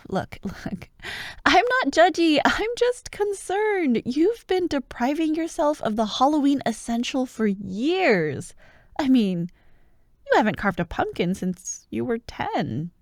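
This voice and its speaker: A woman playing a bubbly, bossy young childhood friend who loves Halloween, in an animated, teasing ASMR roleplay. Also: high voice, takes it slowly, no echo, studio quality, exaggerated voice. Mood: teasing, hyped. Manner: animated, expressive, teasing, with laughs and sighs. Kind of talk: ASMR roleplay, one-sided acted conversation.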